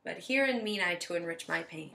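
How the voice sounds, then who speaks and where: A woman playing a lovesick, wounded young woman in a measured, declamatory Shakespearean stage monologue. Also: lowish voice, lively intonation, vocal fry, sharp tone, full character voice, rough recording. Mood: resolved, pained. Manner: declamatory, measured verse delivery. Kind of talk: theatrical Shakespearean verse monologue.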